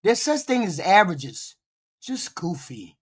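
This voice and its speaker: A man getting over a cold, his voice deeper than usual and short of breath, giving blunt, profane reaction commentary. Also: big pitch swings, a little slow, husky. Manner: blunt, profane ranting at the screen. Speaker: live-stream commentator reacting to a broadcast.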